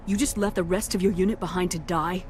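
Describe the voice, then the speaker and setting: An actress playing a tough, resolute military commander in a sci-fi video game, stern and clipped. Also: female voice, fairly low pitch, fairly even intonation, quick pace, darkish tone, close to an everyday voice. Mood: stern, demanding. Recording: game music behind.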